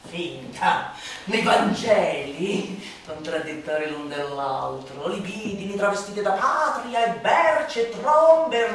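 A woman playing a sardonic, scornful satirical orator in a theatrical radio monologue, declamatory and emphatic, moving between hushed asides and loud outbursts. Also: on the high side, full character voice. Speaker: actress performing a literary monologue. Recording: reverberant room, distant voice, faint noise.

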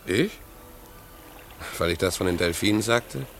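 A man's voice: low-pitched, animated, a little slow. An actor playing an earnest, easygoing young man in love, plain-spoken and affectionate in a 1950s radio play. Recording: 1950s radio recording, faint music behind.

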